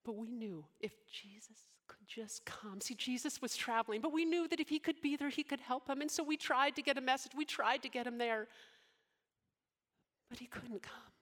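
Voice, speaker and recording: A devoted, deeply moved young woman from scripture, performed by a woman. Her voice sits high and tender, and she falters and falls into hushed whispers as she speaks. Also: lightly acted. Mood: overwhelmed, reverent. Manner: emotional, halting, drops to soft whispers. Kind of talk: dramatic monologue in character.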